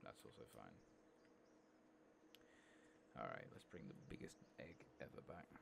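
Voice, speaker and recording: A man with an extremely low voice, full of heavy creak, softly talks through a game in ASMR style. He thinks aloud casually, sometimes slipping into whispers, and his pitch moves around a lot. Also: very animated, measured pace, noisy background. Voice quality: soft-spoken, often breathy whispering. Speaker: gaming channel host. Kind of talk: soft-spoken ASMR game playthrough commentary.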